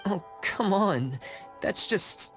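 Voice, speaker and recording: A woman voicing a timid, hesitant, easygoing job candidate in a video game, halting and meek. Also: medium pitch, lively intonation, over music. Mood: flustered.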